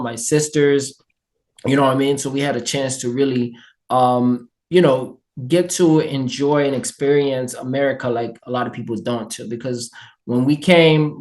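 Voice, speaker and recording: A man from Haiti with a Haitian accent, a guest telling his life story in an energetic, upbeat interview chat. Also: mid-range voice. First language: Haitian Creole and French.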